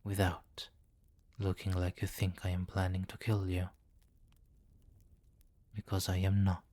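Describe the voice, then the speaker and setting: A man's voice: low-pitched, monotone, warm tone, no room sound. A man playing a commanding, possessive vampire king in an intimate, teasing ASMR roleplay. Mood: coaxing, commanding. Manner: slow, teasing, condescending, with murmured endearments.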